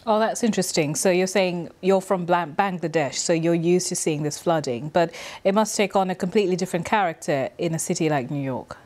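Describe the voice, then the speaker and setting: A woman news anchor presenting the news live and interviewing a guest in a measured, polished way. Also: fairly low pitch, a little mellow.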